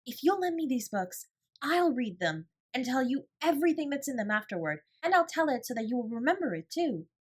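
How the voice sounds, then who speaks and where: Woman voicing an eager, high-pitched schoolgirl. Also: sing-song, fairly quick, slightly rough, full character voice. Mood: excited. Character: bright, earnest, imaginative schoolgirl, eager and principled.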